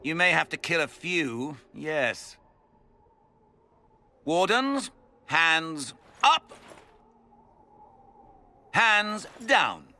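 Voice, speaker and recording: An actor playing a smug, theatrical villainous lord and cult mage in a video game, pompous and sneering with mock courtesy. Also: male voice, a little high, animated, extremely slow, pauses often, sharp tone, exaggerated voice. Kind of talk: video game cutscene acting.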